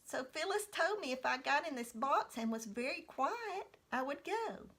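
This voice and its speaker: Woman acting out an eager, high-voiced little girl. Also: normal speed. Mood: earnest. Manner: excited, earnest, innocent. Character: eager, gullible three-year-old little girl.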